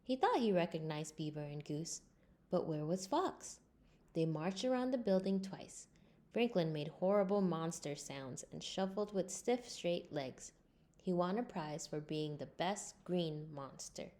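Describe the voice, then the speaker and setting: A woman reading a scripted children's storybook aloud in an expressive way. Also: on the low side, unhurried. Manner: expressive, acts out character lines. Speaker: storybook reader.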